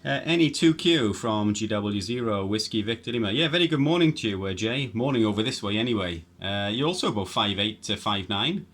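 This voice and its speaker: A man's voice: lowish voice, expressive, a little fast, hardly any pauses, fairly bright tone, a little hiss or hum. A man chatting casually as an amateur radio operator, rambling and hesitant, often repeating himself. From Wales. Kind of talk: casual ham radio chat.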